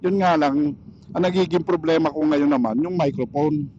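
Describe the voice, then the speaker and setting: A Filipino man with a slightly high voice talks casually to his vlog audience. He speaks haltingly, often searching for the word. Faint vehicle noise runs behind him. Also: lo-fi sound. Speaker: motorcycle vlogger. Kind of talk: casual vlog chat while riding. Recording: steady vehicle and road noise.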